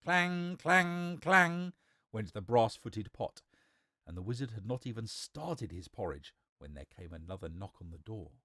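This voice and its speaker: A man's voice: sits low, normal speed, mellow, slightly rough, no room sound, clean studio sound. A man reading a storybook aloud as a theatrical, emphatic narrator.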